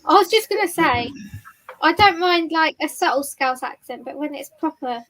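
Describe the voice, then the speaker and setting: A woman with a high voice chats casually and pokes fun at herself. The sound is dry and close, with no room echo. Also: lively intonation, normal speed, a bit bright. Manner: self-deprecating, chatty. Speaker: co-host of a watch party. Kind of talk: casual chat.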